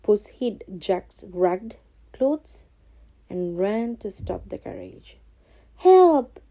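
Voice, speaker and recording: Slow, very mellow woman storyteller. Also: medium pitch, vocal fry, dull audio. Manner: expressive, carefully phrased. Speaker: storyteller for children. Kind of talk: scripted storybook narration.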